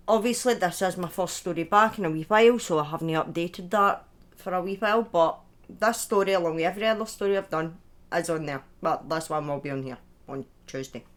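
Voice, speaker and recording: A woman chatting informally to viewers as the host of a livestream, chatty and self-deprecating, with the odd swear word. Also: medium pitch. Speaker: live streamer and storytelling host. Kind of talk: casual livestream chat to viewers.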